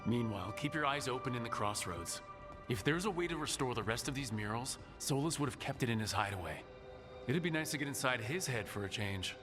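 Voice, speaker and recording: A man's voice: mid-range voice, animated, fairly quick, natural voice. A man playing a pragmatic adventuring leader in a video game, speaking in a casual, direct way. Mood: matter-of-fact. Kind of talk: naturalistic video game dialogue. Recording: music behind the voice.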